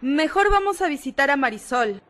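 A woman's voice: high-pitched, expressive, normal speed, a bit bright. A woman playing a timid, easily frightened schoolgirl in a radio drama. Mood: hesitant.

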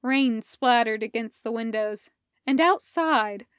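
A woman's voice: on the high side, takes it slowly, clean tone, no echo, clean studio sound. A woman reading a story aloud as scripted narration. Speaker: podfic reader. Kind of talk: scripted narration of fan fiction with acted dialogue.

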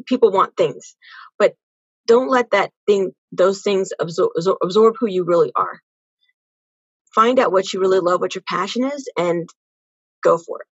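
A woman's voice: mid-range voice, moderate pace, husky, no echo, lo-fi sound. A woman giving casual, earnest answers as a podcast guest, often repeating phrases for emphasis. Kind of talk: casual interview conversation.

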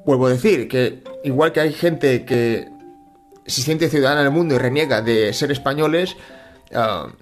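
A man's voice: medium pitch, moderate pace, faint music behind. A middle-aged Spanish man from Catalonia speaks in a thoughtful, hesitant monologue with many fillers and restarts, as host of his personal finance channel. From Spain (Catalonia). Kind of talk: reflective casual monologue.

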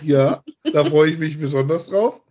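A man's voice: a little high, moderate pace, a little mellow, dull audio. A man chatting casually as co-host of a podcast that reviews audio dramas.

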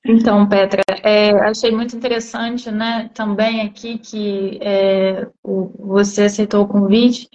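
A woman's voice: medium pitch, little pitch movement, slow pace, darkish tone, highs cut off. A woman with a Brazilian accent hosting a casual live stream interview, chatty and full of tag questions. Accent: Brazilian Portuguese.

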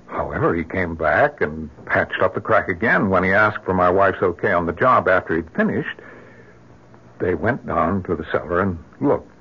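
Troubled husband on muffled old radio. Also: male voice, low voice, breathy edge. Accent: American. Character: troubled, grieving husband and father, earnest and strained. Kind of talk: old-time radio drama acting. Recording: muffled vintage radio recording.

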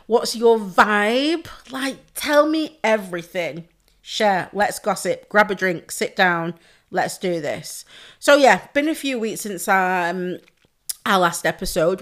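A woman hosting her own podcast alone, hoarse and losing her voice, chatting casually, swearing freely and laughing often. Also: mid-range voice, fairly bright tone. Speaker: podcast host. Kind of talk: casual solo podcast chat.

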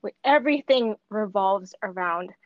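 A woman's voice: mid-range voice, moderate pace, no room sound, lo-fi sound. A woman from Hong Kong talking as a podcast guest, chatty, with lots of fillers and restarts. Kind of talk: casual remote interview.

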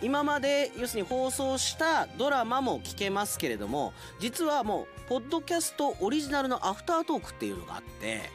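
A man's voice: extremely high pitch, huge pitch swings, fairly quick, few pauses, faint music behind. A man hosting a radio show, chatting casually and playfully like an announcer, with conversational asides.

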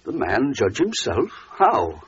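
A dutiful older father in a biblical radio play, earnest and troubled. His voice is husky and middle-pitched, on a dull 1940s recording. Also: huge pitch swings, slow, full character voice. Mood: resolute. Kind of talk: 1940s radio drama acting.

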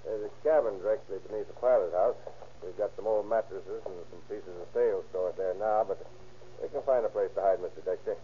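An actor playing a plain-spoken, easygoing boat captain with a husky voice and hesitant manner in an old radio crime drama. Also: male voice, lowish voice, brisk, mellow, slight background noise, lightly acted. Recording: tinny, band-limited old radio recording.

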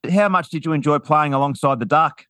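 A man's voice: on the high side, brisk, a bit bright, no room sound, clean studio sound. A man hosting an interview, asking long, prepared questions. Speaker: podcast host.

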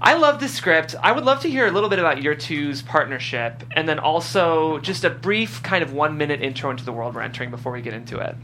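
A man's voice: a little high, very animated, quick pace, few pauses, bright, forceful tone, slight background noise. A man hosting a show, talking casually and reading scripted narration. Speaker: show host and stage-direction reader.

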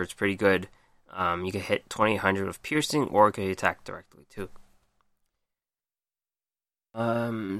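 Hoarse man reviewing cards. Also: on the low side, bright, forceful tone, no room sound. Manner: reads card stats then comments, many ums. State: losing his voice. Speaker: podcast host. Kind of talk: casual card game review.

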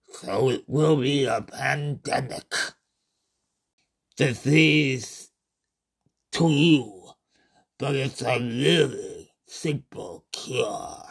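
A man performs a calm, condescending tentacled alien from a horror story. The creature speaks very slowly and deliberately, with a gravelly creak. Each phrase is drawn out as if lecturing a lesser species. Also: mid-range voice, voice pushed down, full character voice. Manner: slow, deliberate, drawn-out delivery. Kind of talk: horror short story character voice.

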